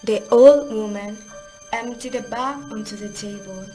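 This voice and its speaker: A woman's voice: medium pitch, measured pace, warm tone, soft background music. A woman narrating a scripted story as the narrator of an audio drama.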